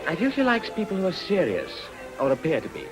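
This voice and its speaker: A witty, upper-class man, urbane and drily teasing. His pitch moves widely as he talks, in period radio drama style, with music audible behind him. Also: huge pitch swings, fast. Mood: musing, wry. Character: bored, witty upper-class English gentleman politician.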